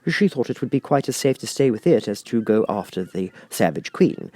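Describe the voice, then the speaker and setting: A man's voice: on the low side, very animated, a little fast, warm tone, no echo. A man reading a storybook aloud as an audiobook narrator. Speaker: audiobook reader.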